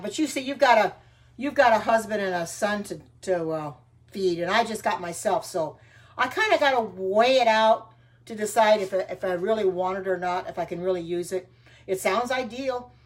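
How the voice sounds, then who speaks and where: An older woman chatting casually on a live stream while baking, greeting viewers by name and wandering from topic to topic with homespun sayings. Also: medium pitch. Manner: frequent ums and restarts, homespun sayings. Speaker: home cooking livestreamer.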